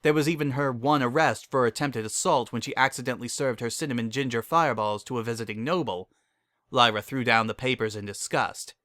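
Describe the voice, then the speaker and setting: A male narrator reads a story in a scripted, dramatic style. His voice is bright and forceful, and the recording is dry and close. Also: mid-range voice, a little fast, no echo.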